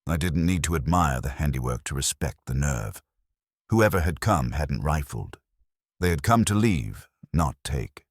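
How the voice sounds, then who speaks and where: A man's voice: a bass voice, animated, a little slow, breathy edge, no room sound, studio quality. A man narrating a detective audiobook in a wry, wisecracking first-person style.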